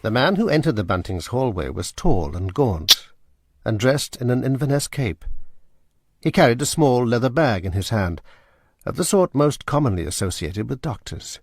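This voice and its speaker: A man narrating a period radio drama in a measured, grave storytelling manner. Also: mid-range voice, sing-song, husky, dry recording, clean studio sound.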